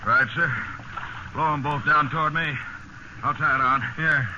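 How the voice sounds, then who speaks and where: An actor playing a hardworking, dutiful young sailor in a 1950s radio drama, plain-spoken and folksy. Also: male voice, on the high side, fairly even intonation, moderate pace, natural voice. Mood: eager. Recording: muffled, very noisy old radio recording.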